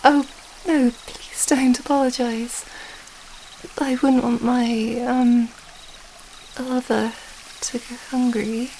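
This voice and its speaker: A woman's voice: fairly high pitch, little pitch movement, takes it slowly, pauses often, mellow, a little hiss or hum, low-quality recording. A woman playing an affectionate young princess in love, speaking tenderly and hesitantly in a romantic fantasy ASMR roleplay. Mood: shy, tender.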